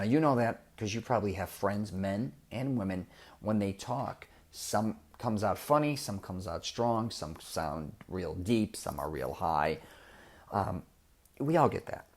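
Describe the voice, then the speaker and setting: A middle-aged man with a somewhat low voice talks straight at the listener. He sounds blunt and direct, like a coach giving frank advice in a casual podcast monologue. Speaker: podcast host.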